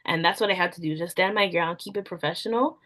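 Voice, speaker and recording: A young woman talks through her experiences in a relaxed, conversational way. Her voice sits slightly low, and she leans on "like" as a filler. She sounds unhurried and sincere. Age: young adult. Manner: soft-spoken, frequent "like" fillers. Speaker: event planner guest. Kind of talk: casual interview answers.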